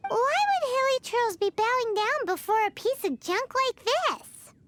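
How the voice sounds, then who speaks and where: A woman's voice: expressive, a little slow, a bit bright, heavily acted. A woman voicing a tiny floating fairy-like girl companion who is chatty, blunt and skeptical, in a video game dub. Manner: squeaky, talks about herself in third person, skeptical. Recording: game audio with noise behind.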